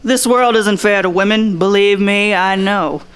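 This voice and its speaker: A woman's voice: mid-range voice, measured pace, clean tone, close to an everyday voice. Noir audio drama private eye, female performer: clean resonant voice, blunt, observant, slangy, tough. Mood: earnest. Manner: tough, slangy, plain-spoken. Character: blunt, observant hardboiled 1940s private eye Pal Vargas. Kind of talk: noir radio drama acting with inner monologue.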